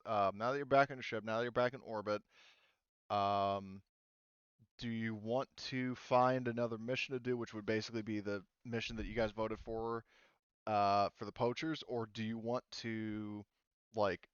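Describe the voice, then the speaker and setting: A man with a low, smooth voice runs a tabletop game as game master. He talks casually and rambles, often pausing and restarting as he thinks. The sound is close and dry, with a slightly dull voice-chat quality. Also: no room sound. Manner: hesitant, rambling delivery full of trailing thoughts. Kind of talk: casual talk running a tabletop roleplaying game online.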